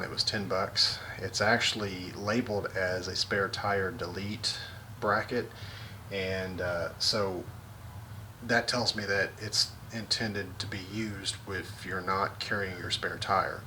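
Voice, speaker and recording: Low-voiced man explaining a vehicle install. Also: a little hiss or hum, rough recording. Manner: relaxed, practical, many fillers and restarts. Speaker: vlogger. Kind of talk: casual how-to walkthrough.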